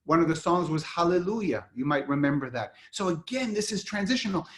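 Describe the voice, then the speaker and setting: A man's voice: a little high, animated, brisk. A man from Israel leads an online history lecture over a video call, explaining in a conversational way with frequent fillers and restarts. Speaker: lecturer and guide for an online series.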